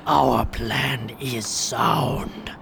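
Whispering, gravelly alien schemer. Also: male voice, mid-range voice, steady tone, extremely slow, a bit bright, full character voice. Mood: sinister, coaxing. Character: sinister alien agent offering power and conquest. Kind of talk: radio drama acting. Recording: noisy ambient hiss.

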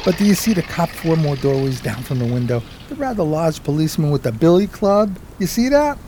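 Man as slangy vagrant buddy, mellow tone, fairly high pitch, casual, radio drama, slight background noise. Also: big pitch swings, a little fast. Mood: sly, eager. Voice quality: slangy, folksy speech. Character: streetwise, loyal homeless pal and narrator, 1920s New York. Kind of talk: radio play acting and storytelling.

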